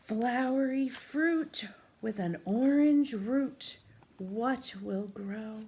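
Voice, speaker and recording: A woman doing an interactive read-aloud for young children, gently asking questions and pausing for answers. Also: on the high side. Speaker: teacher reading a picture book to young children. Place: small room at home, indoors.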